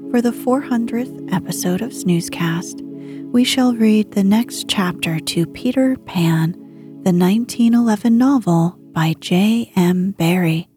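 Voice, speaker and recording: A woman with a breathy, hushed voice reading bedtime fiction as a podcast host, gently and with measured phrasing. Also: medium pitch. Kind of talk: soft, soothing bedtime story reading.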